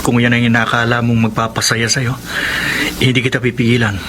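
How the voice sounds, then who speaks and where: Casting: man playing accepting, lovelorn Filipino suitor, mid-pitched, radio drama with clear background noise. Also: flat delivery, a little fast, close to an everyday voice. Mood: wistful, resigned. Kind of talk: Tagalog radio romance drama acting. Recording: noisy ambience behind the voice.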